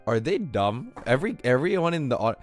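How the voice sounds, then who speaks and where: A man streaming a game and reacting casually, with drawn-out, incredulous exclamations. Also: medium pitch, very animated. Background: soft game music.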